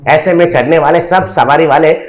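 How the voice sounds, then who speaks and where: A man voicing a nagging, blithely ominous passenger in a comic story, excitable and given to repeating himself. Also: a little high, moderate pace, echoey room, lightly acted. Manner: repetitive, excitable interjections.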